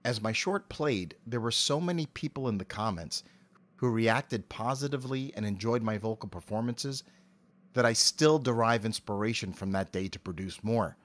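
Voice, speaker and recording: A middle-aged man with an articulate, measured delivery, giving prepared interview answers into a close cardioid mic. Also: lowish voice, a bit bright. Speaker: interview guest, amateur voice actor. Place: home office. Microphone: cardioid dynamic mic with pop filter, on a stand.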